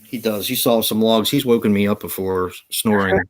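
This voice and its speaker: A man's voice: lowish voice, fairly even intonation, moderate pace, rough recording. A man co-hosting a live show from home, talking in a rambling, easygoing way with many fillers and easy laughs. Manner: laughs easily. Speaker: podcast co-host. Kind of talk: casual live-stream chat.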